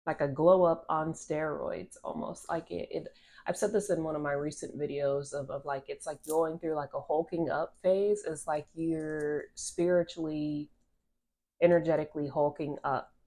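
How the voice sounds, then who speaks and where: Low-voiced nasal woman reading tarot. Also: normal speed, mellow. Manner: rambling with restarts and filler "like". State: stuffy nose from allergies. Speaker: tarot reader. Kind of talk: casual channeled card reading to camera. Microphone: phone camera.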